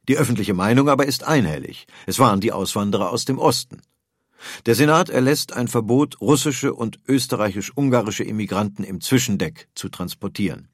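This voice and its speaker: A man's voice: lowish voice, no echo, clean studio sound. A man reads scripted documentary narration as a narrator, in pronunciation.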